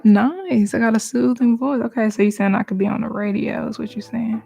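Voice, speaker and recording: A woman's voice: a little high, a little fast, creaky. A woman chatting casually to viewers during a live drawing stream, easygoing and sometimes dropping to a whisper. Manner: relaxed, chatty, talks to viewers while working, drops to whispers.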